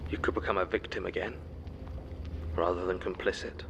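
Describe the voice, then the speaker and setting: An actor playing a grave, knowing man in an audio horror drama, speaking in a measured, solemn way with a creaky voice. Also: low voice, level tone, dull audio, background noise. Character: grave, knowing man with an ominous quiet power.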